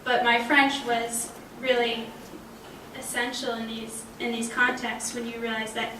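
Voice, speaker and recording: A woman's voice: on the high side, level tone, unhurried, bright, forceful tone. A young woman in her twenties, speaking conversationally as a panelist and sharing personal anecdotes. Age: 20s. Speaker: panelist, language graduate. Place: college panel event room. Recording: roomy, distant mic, faint background noise.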